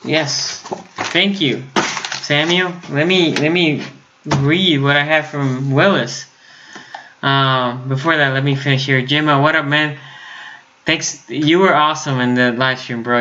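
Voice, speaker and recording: A man's voice: medium pitch, steady tone, a little slow, bright, forceful tone, muffled recording, a little hiss or hum. A man from Puerto Rico whose first language is Spanish, hosting a casual sports live stream, reading the chat and restarting his sentences often. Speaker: sports YouTube host.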